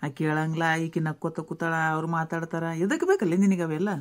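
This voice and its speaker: A woman with a North Karnataka accent playing a blunt, exasperated middle-aged relative in a family skit, brisk and scolding. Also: low-pitched, a little mellow, close to an everyday voice.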